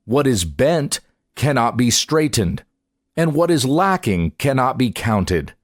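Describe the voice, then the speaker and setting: A man reads scripture aloud like a pastor narrating an audiobook, in a measured, solemn, deliberate way. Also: medium pitch, dry recording, clean studio sound.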